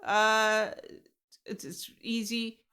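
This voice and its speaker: Slow, oblivious Chip Crust. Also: female voice, medium pitch, steady tone, pushed and bright, clear, resonant tone. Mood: breezy, oblivious. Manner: halting, repetitive, vague. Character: oblivious, easygoing new roommate Chip Crust. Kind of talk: improvised audio comedy.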